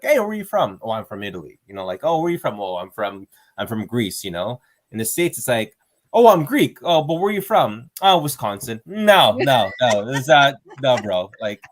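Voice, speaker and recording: A man, a stand-up comedian, chatting casually as an interview guest, rambling with fillers and restarts and acting out quoted exchanges. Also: mid-range voice. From Canada.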